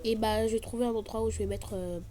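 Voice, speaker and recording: A woman with a French accent giving a casual room tour, stuffed up with flu and pausing often on "euh". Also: on the high side, fairly even intonation. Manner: halting, with "euh" fillers. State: congested with flu. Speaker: vlogger.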